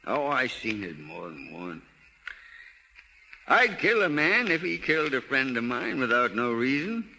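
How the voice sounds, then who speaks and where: Crackly old radio play, stubborn folksy old country man, rural american drawl, slow and really sharp sounding. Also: mid-range voice, big pitch swings, creaky, full character voice. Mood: tender, solemn. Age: older. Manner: halting, plain-spoken, chuckling delivery. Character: folksy old Missouri hound owner on trial, sentimental, stubborn. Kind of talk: 1930s radio drama acting. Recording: hissy 1930s radio recording with clicks.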